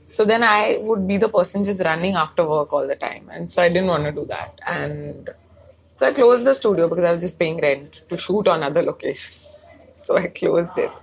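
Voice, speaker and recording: A woman's voice: lowish voice, moderate pace, creaky, poor audio. A young woman with a Bangalore Indian English accent, a stand-up comic chatting casually and rambling as she slips between English and Hindi mid-sentence. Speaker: stand-up comic and comedy writer. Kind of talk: casual chat.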